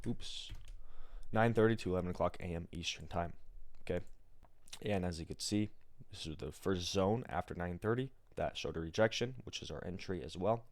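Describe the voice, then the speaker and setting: An American man with a raspy morning voice, explaining things step by step in an informal, slangy livestream tutorial style. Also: sits low, normal speed, no echo. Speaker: day trader and livestream host. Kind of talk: casual live trading tutorial.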